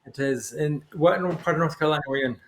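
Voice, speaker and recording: A man's voice: fairly high pitch, quick pace, a bit bright, a little hiss or hum. An American man from the Boston area with a mixed accent touched by Irish, a guest who talks readily and laughs easily. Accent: mixed American, slight Irish. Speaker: guest, executive coach. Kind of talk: podcast interview.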